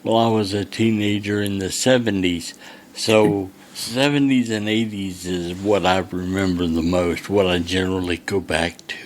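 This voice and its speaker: A man in his sixties hosting a podcast, asking questions with halting starts and fillers. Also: deep voice, fairly even intonation, a little hiss or hum. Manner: halting, many uhs and restarts. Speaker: podcast host. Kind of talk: casual podcast interview.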